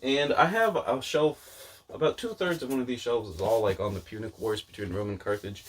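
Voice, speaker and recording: A man's voice: mid-range voice, normal speed, fairly bright tone. A man talking casually to camera as a vlogger, in a rambling way that often doubles back mid-thought. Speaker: book vlogger and channel host.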